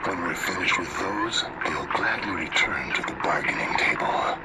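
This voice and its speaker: Game briefing role: cool, disdainful rebel strategist, very low lively delivery, noisy distant radio. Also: male voice, normal speed. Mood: cool confidence. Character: shrewd, coolly confident male rebel strategist. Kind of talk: scripted military mission briefing.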